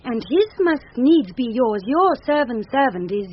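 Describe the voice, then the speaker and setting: A courtly young page in a classic British radio drama, her voice high and husky with lively swings. The speech is earnest and nimble-witted, with a British accent. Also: unhurried, heavily acted. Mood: earnest, guarded. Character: young woman disguised as a courteous page boy. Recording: dull vintage radio recording.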